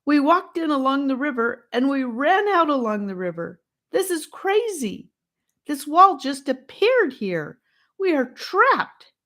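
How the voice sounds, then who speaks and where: Woman acting a high-pitched, hysterical young girl. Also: big pitch swings, a little slow, fairly bright tone, exaggerated voice. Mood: hysterical, sobbing. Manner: sobbing, pleading, emphatic. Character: frightened, sobbing young girl, weary and desperate.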